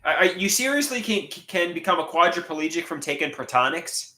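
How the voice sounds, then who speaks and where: Man, late thirties, stuffed nose, halting repeated words, lively pitch swings, echoey room. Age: 30s. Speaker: livestream host. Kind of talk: casual livestream chat reading viewer comments.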